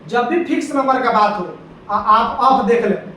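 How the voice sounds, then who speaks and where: A man with a very high voice teaches grammar, drilling each rule and asking students questions again and again. He sounds far from the mic in a very echoey room, with a faint hiss behind him. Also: animated, unhurried, pushed and bright. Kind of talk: exam-prep grammar lesson.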